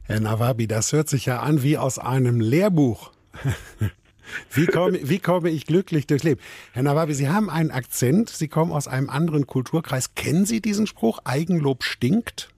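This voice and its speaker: Man, radio host, husky voice, dry studio sound, conversational. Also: fairly high pitch, moderate pace, darkish tone, no echo. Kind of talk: radio call-in show. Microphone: studio mic.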